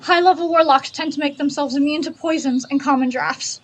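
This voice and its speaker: A woman's voice: sits high, steady tone, brisk, slight background noise, close to an everyday voice. A woman playing a learned, earnest female privateer in a fantasy audio drama, with a precise, lecturing delivery. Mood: instructive.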